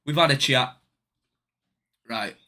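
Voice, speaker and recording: A young man on a casual live stream, talking in slang, swearing and joking with his viewers. His voice sits at an ordinary middle pitch with a bright, forceful edge. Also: slow pace. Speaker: day trading livestreamer.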